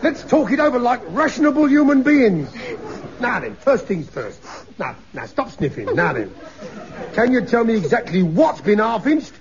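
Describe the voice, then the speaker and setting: A man's voice: very high voice, big pitch swings, moderate pace, a crowd in the background, full character voice. A blustering pompous father. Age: middle-aged. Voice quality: loud, bossy, mangles long words. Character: blustering pompous working-class father, middle-aged man. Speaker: comic character. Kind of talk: 1950s radio comedy acting. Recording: dull old radio recording with studio audience.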